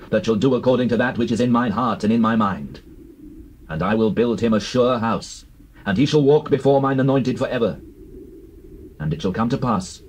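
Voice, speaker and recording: An actor playing a stern prophet pronouncing divine judgement in a solemn, declamatory way, in a dramatized scripture recording. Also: male voice, on the low side, a little mellow, lightly acted, poor audio. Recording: hissy old cassette recording.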